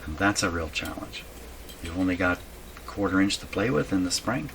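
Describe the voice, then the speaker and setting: A man giving unscripted, halting commentary as he thinks aloud while doing hands-on repair work. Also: low-pitched, animated, fairly bright tone. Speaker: radio repair hobbyist narrating a workshop video. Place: indoor radio repair workshop. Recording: clearly noisy workshop recording.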